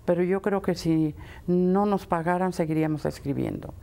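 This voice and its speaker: A woman's voice: lowish voice, moderate pace, gravelly, dark tone. A woman who writes for radio, talking in an interview. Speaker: radio scriptwriter. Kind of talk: interview talk.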